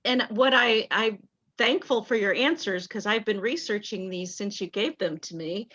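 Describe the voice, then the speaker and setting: A woman reading out a playwright's answers in an online talk back, warm and enthusiastic. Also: mid-range voice, moderate pace. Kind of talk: video call talk back. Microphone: video call. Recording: dull lo-fi call audio.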